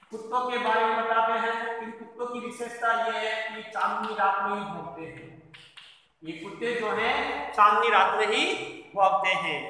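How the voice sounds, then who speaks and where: A man teaching a literature lesson, repeating his key phrases so they sink in. His voice sits high and sounds bright and sharp. He stands well back from the mic, and the large room rings with a long, echoing reverb. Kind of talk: Hindi literature lesson explanation. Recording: very echoey hall, far from mic.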